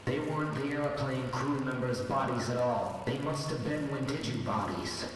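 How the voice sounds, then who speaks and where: A grim, haunted vigilante played by a man speaks deliberately in an old-time radio drama. The voice sits far from the mic in a huge echoing space, with clear noise over it. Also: mid-range voice, natural voice. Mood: grave, pondering. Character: grim supernatural vigilante haunted by spirits of the dead. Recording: noisy, distant, echoing hall-like reverb.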